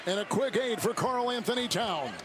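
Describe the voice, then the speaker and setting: A man's voice: high-pitched, expressive, normal speed. A man calling live basketball play-by-play in clipped, polished broadcast phrases. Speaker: play-by-play announcer. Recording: loud music over broadcast feed.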